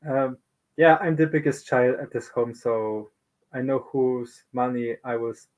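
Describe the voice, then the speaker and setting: A man in his early thirties speaks as a guest on a live stream. He talks slowly and laughs often. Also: medium pitch, steady tone, darkish tone, poor audio. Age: 30s. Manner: slow, laughs a lot. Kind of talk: casual live chat.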